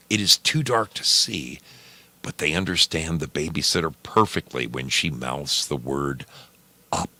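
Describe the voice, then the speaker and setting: A man narrating a scripted story dramatically, with deliberate, suspenseful phrasing. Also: extremely low pitch, sharp tone, no echo.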